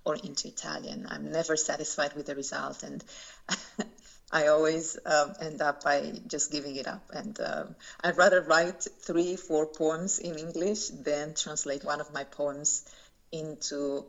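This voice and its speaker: Low, gravelly woman with halting speech. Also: level tone. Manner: thoughtful, halting, many fillers and restarts. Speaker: poet and translator. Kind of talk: interview answers. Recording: dull lo-fi recording.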